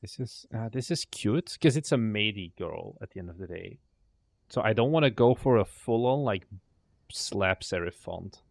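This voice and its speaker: A young man talks to himself while he works, half-muttering, trailing off mid-thought and tossing in the odd curse. His voice sits a bit low with a gravelly fry. The sound is close and dry. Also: expressive, normal speed, no room sound. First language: Dutch. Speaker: indie game developer streaming. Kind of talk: casual livestream, thinking aloud while working.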